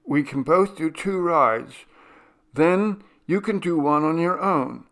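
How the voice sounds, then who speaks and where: A man voicing a practical, careful teenage girl in a story reading, measured and matter-of-fact. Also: a little high, fairly bright tone, voice pushed up. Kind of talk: storybook character voice.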